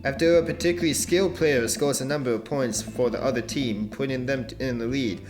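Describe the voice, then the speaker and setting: A man's voice: mid-range voice, a little fast. A young man narrating a dating-sim playthrough with friends, reading the game text aloud and laughing often. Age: young adult. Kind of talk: casual let's-play narration. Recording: light background music.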